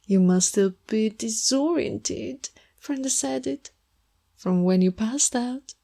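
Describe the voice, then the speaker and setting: A woman playing an obsessive, sweetly menacing goth girlfriend in an ASMR roleplay, soft, cooing and possessive, often dropping into whispers. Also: fairly high pitch, slow, vocal fry, exaggerated voice. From Italy.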